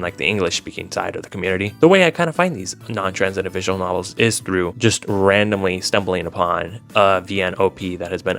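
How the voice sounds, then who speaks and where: A man's voice: deep voice, creaky, a bit bright. A man chatting casually as a video host, rambling along in an informal, filler-heavy way. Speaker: YouTube host discussing visual novels.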